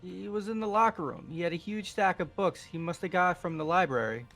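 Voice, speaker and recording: A man's voice: high-pitched, brisk, fairly bright tone, faint music behind. A man voicing a casual, slightly nervous young male character in a video game, with hesitant, plain-spoken delivery. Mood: uneasy, casual. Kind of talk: amateur voiced video game playthrough.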